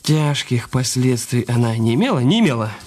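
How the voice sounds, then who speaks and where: An actor playing a bitter, cornered husband in a radio drama, evasive and grudgingly compliant, with halting delivery. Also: male voice, mid-range voice, very animated, a little mellow. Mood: reluctant, dictating. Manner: halting, repeating phrases as he writes.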